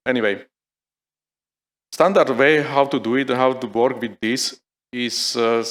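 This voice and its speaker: A man's voice: mid-range voice, a bit bright. A man giving a conference tech demo, thinking aloud with many fillers and restarts. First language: Slovak. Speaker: conference speaker. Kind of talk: live technical demo talk. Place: conference hall.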